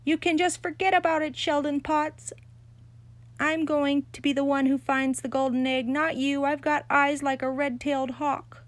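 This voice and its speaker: A woman's voice: medium pitch, fairly even intonation, normal speed, a little mellow, no room sound, close to an everyday voice. A woman voicing a bossy, competitive little girl who scolds and calls out. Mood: shouting.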